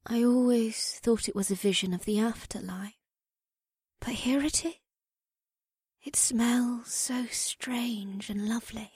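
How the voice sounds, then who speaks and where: Man doing a breathy, misty orc mystic woman. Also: extremely high pitch, slow, a little mellow, no echo, clean studio sound, pitched above the performer's own voice, heavily acted. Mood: quiet wonder. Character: gentle misty-voiced orc woman mystic, sincere and calm.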